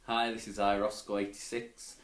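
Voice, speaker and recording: A man's voice: on the low side, flat delivery, normal speed. A British man chatting casually to camera as a YouTuber, in a rambling, tentative way, into a tablet's microphone. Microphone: tablet's built-in microphone.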